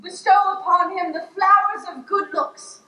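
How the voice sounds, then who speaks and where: A woman's voice: very high-pitched, steady tone, moderate pace, pushed and bright, distant mic. A woman playing a lofty, meddling goddess in improvised stage comedy, declaiming in mock-poetic phrases. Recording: echoey stage pickup from afar, faint noise.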